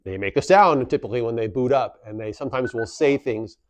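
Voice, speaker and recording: A man's voice: medium pitch, brisk, no room sound. A man presenting an instructional how-to, explaining plainly step by step and repeating key points for emphasis. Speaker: instructional video presenter for a community association.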